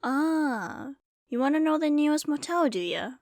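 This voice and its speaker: Woman voicing a folksy, high-pitched Wild West saloon keeper. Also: expressive, measured pace, a little mellow, no room sound, studio quality. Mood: welcoming, folksy. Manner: folksy, rambling, drawling storytelling. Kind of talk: ASMR roleplay, storytelling to the listener.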